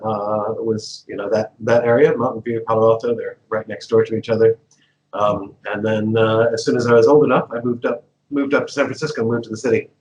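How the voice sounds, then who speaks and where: A man's voice: on the low side, flat delivery, brisk, a little mellow, lo-fi sound. A man in his fifties from the United States, a guest telling his life story in a casual interview with fillers, restarts and frequent laughter. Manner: chatty, laughs often, many fillers and restarts.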